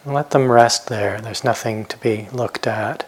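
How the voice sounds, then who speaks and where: A man guiding a meditation as a teacher, speaking gently in short, unhurried phrases with long pauses. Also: medium pitch, steady tone, slightly rough. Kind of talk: guided meditation instruction.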